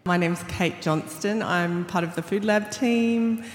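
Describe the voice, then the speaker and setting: Aussie lady on a panel, talks slowly, super echoey. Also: fairly low pitch. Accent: Australian. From Sydney, Australia. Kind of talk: panel self-introduction. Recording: very echoey hall.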